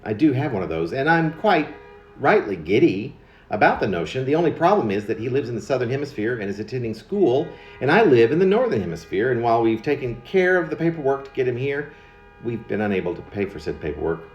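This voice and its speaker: A man's voice: medium pitch, big pitch swings, fast, muffled recording, faint music behind. Older american guy, 59, wry rambling spoken poetry, voice swings up and down, echoey room. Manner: wry, digressive, repeating words. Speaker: poet reading own work. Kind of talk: rambling autobiographical spoken poem.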